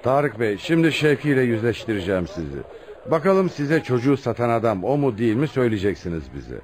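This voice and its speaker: An actor playing a firm, patient police superintendent in a radio play, measured and authoritative. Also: male voice, mid-range voice, sing-song, a little mellow, close to an everyday voice. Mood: businesslike. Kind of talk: Turkish radio theatre acting.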